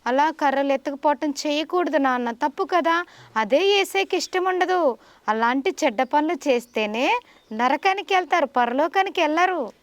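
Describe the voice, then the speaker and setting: High-voiced woman teacher, instructive. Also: expressive, normal speed. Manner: instructive, patient explaining to a child. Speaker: teacher in a puppet show.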